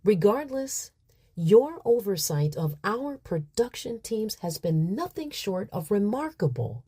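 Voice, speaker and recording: An actress playing a polished, probing human resources officer in an audio drama, formal and effusively courteous. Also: female voice, medium pitch, big pitch swings, unhurried, warm tone, slightly rough, dry recording, studio quality. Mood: ingratiating.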